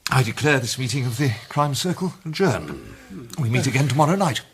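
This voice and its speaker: An actor playing a measured, courteous British gentleman chairing a club, speaking formally in a radio drama. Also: medium pitch, lively intonation, a little hiss or hum, natural voice. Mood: reasoned, formal.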